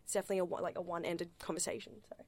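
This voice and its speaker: A woman's voice: on the low side, little pitch movement, rapid-fire, no room sound. A young woman with an Australian accent whose first language is not English, speaking in a discussion with many fillers and restarts. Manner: hesitant, full of fillers and restarts. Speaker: panel speaker.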